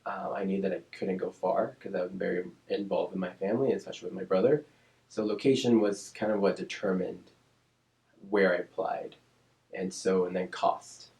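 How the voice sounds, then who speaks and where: Low-voiced man, thoughtful and measured. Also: steady tone, vocal fry, darkish tone, roomy sound, low-quality recording. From Oakland, California. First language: Spanish. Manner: thoughtful, measured, many ums and restarts. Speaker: interview guest, speech-language pathologist. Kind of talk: reflective casual interview.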